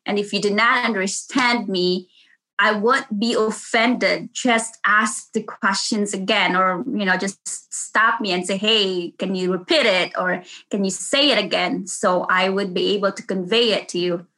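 A woman with a Filipino accent talks in an interview about her work as a nurse. Her tone is bright and clear, and she speaks earnestly and often restarts her sentences. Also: mid-range voice, steady tone, a little slow, poor audio. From Philippines. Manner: earnest, with frequent restarts and laughs. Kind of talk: casual interview.

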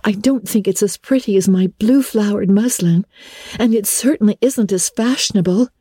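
A woman's voice: medium pitch, normal speed, mellow, slightly rough, dry recording, studio quality, voice pushed up, lightly acted. A woman voicing an earnest, dreamy teenage girl, affectionate and a little anxious, in a storybook reading. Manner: earnest, wistful.

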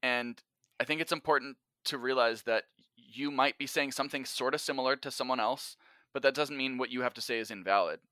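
A man's voice: mid-range voice, fairly quick, sharp tone, no echo, studio quality. A man talking in an emphatic, instructive way as a guest giving advice in a conversational interview. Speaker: podcast guest, writer and teacher.

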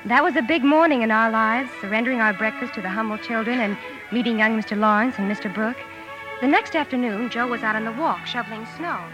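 Proper young lady woman actor, careful diction, slightly high, 1940s radio drama with underscoring music. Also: fast talker, natural voice, poor audio. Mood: wistful. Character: proper, fretful teenage elder sister, recalling her girlhood. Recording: old radio broadcast with orchestral music.

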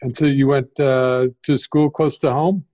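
A man's voice: mid-range voice, level tone, unhurried, dark tone, no room sound. A man hosting a podcast interview, asking questions hesitantly with fillers and restarts. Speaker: podcast host.

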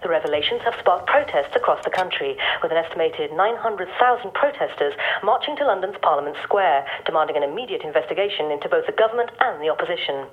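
A woman playing a serious radio news reader, measured, delivering a scripted bulletin through a radio filter. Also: fairly low pitch, expressive. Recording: thin, phone-like radio broadcast sound.

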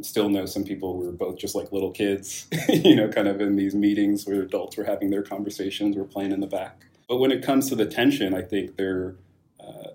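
Low-voiced man, relaxed and thoughtful. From California, USA. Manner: thoughtful, many fillers and restarts, laughs lightly. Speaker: guest, wine company founder. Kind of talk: casual interview answers.

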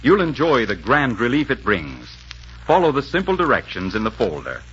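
A man's voice: medium pitch, huge pitch swings, normal speed, fairly bright tone, a little hiss or hum. A man reading scripted copy as a 1940s radio announcer, polished and emphatic. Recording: muffled 1940s radio, faint music behind.

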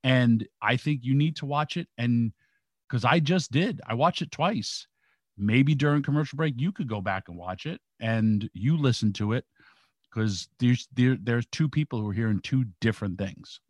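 A man with a monotone voice makes his case on a talk show, stammering through restarts. The sound is dry and close. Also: medium pitch, moderate pace, no room sound. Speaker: co-host. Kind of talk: casual sports talk.

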